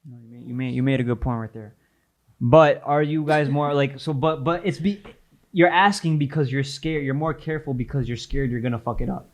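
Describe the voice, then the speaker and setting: A man's voice: medium pitch, a little fast. Slangy man hosting a reaction video. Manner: slangy, repeats himself, laughs as he talks. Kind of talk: casual reaction commentary.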